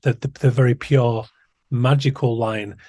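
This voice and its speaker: Male podcast host, husky, dry close sound, thoughtful and musing. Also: medium pitch, steady tone, a little slow, dark tone, dry recording. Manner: thoughtful, musing, frequent restarts. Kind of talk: conversational interview.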